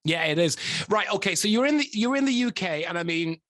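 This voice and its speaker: A man with a British accent, a radio presenter interviewing a guest, quick with jokes and friendly banter. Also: high-pitched, animated, fairly bright tone, no room sound, studio quality. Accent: British English. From England. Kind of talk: casual interview chat.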